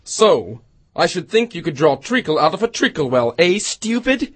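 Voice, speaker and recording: A woman's voice: on the low side, sing-song, normal speed, husky, pitched below the performer's own voice. A woman voicing a rude, brusque, needling male Hatter in an acted storybook style. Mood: needling, smug.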